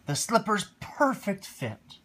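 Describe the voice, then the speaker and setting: A man reading a bedtime story aloud to his child on a phone livestream, expressive with quiet asides. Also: high voice, slow, slight background noise.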